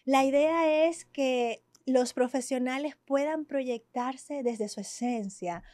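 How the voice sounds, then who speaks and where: A woman's voice: high voice, slow, a little mellow, no echo, clean studio sound. A woman hosting a podcast, welcoming her guest with enthusiasm and asking questions. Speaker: podcast host.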